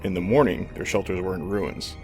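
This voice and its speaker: A man's voice: deep voice, brisk, music in the background. A man acting as a philosophical, solemn leader of underground outcasts in a comic dub. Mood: calm, solemn.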